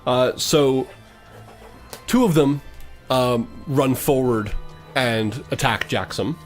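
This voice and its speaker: A man narrating a tabletop game as game master, improvising in a halting way. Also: mid-range voice, faint music behind.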